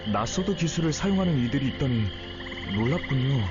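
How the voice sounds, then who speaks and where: A man voicing a gentle, polite young traveling guardian who explains things calmly in a Korean game story. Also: medium pitch, fairly quick, close to an everyday voice. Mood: thoughtful, surprised. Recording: dull sound under music.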